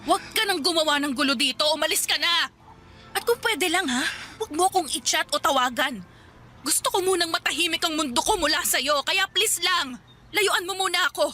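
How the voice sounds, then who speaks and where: A woman's voice: extremely high pitch, moderate pace, bright, forceful tone, faint music behind. A woman playing a weary, evasive girlfriend who wants space, speaking in an exasperated, firm radio drama style. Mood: exasperated, pleading. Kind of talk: Tagalog radio drama acting.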